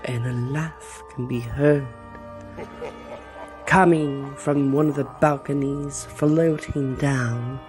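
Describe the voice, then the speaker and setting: Man, dramatic horror game narration, very slow, suspenseful, mid-pitched, music underneath. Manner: slow, suspenseful, drawn-out phrases. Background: background music.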